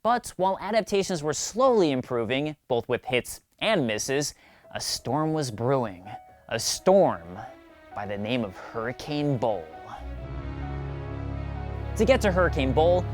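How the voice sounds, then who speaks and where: A man's voice: on the high side, sing-song, a little slow. A man hosting and narrating a scripted video essay in an emphatic, conversational presenter style. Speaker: video host. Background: background music.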